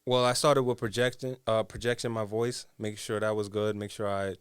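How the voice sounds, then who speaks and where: A man with a deep, low voice, talking quietly as a rapper guest in a casual interview, his sentences trailing off. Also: little pitch movement, normal speed, clean tone, no room sound, clean studio sound.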